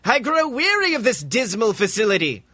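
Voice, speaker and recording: A man voicing a grandiose male alien conqueror with a shrill, pompous voice. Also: wildly swooping pitch, normal speed, pushed and bright, full character voice. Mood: ranting.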